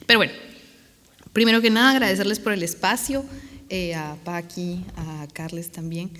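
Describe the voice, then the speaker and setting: A woman's voice: fairly low pitch, steady tone, normal speed, fairly bright tone, in a large hall. A Guatemalan woman with a bad cold, an NGO project director giving an earnest, grateful talk on a conference panel. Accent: Guatemalan Spanish.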